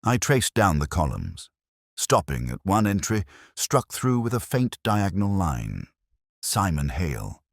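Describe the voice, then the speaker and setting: A man reading a first-person literary detective story in a measured, reflective, formal way. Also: sits low, very animated, vocal fry, dry recording, clean studio sound. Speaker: narrator of a detective mystery story.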